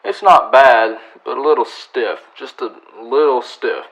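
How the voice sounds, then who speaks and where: Western radio lawman, male actor: mid-range voice, laconic, dry, unhurried, calm with steel in it. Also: medium pitch, poor audio. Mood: calm, matter-of-fact. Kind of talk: western radio drama acting.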